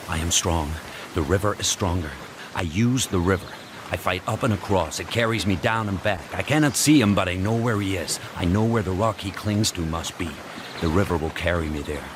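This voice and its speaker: Male actor as devoted sled dog narrator: very low, solemn, terse, intense, over noisy ambience. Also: lightly acted. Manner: solemn, terse, incantatory delivery. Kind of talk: first-person radio drama narration. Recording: noisy rushing ambience behind voice.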